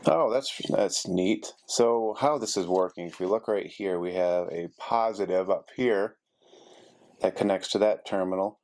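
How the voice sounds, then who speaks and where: Low, creaky-voiced man thinking aloud. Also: darkish tone. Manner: thinking aloud while working. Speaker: DIY repair video host. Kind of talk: casual hands-on repair narration. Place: indoors at a carpeted workspace desk.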